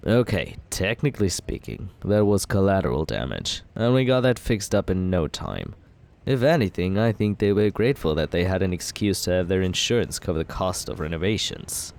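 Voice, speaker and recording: A man's voice: low voice, lively intonation, normal speed, a little mellow. A young man playing a cocky male villain who courts the listener in an ASMR roleplay, teasing and laid-back as he justifies himself.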